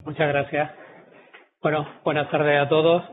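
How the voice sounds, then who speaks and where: A man's voice: a little high, level tone, a little slow. A man with a southern Spanish accent from Córdoba giving a history lecture at an academy, talking conversationally with fillers and restarts. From Córdoba, Spain. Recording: tinny lo-fi audience recording with faint noise.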